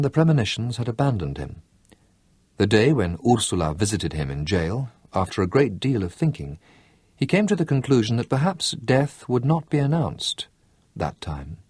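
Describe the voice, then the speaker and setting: Guy reading a novel aloud, husky and expressive, dry close mic. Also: lowish voice, no room sound. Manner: measured, expressive storytelling. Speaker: audiobook narrator. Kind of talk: scripted narration.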